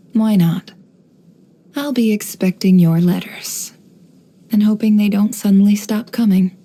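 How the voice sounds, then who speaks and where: A woman voicing a cool, shrewd, guarded young woman in a video game, composed and wry, with measured, knowing delivery. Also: mid-range voice, warm tone. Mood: wryly skeptical. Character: cool, shrewd, guarded young desert-born woman running an information business. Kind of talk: scripted game character voice lines.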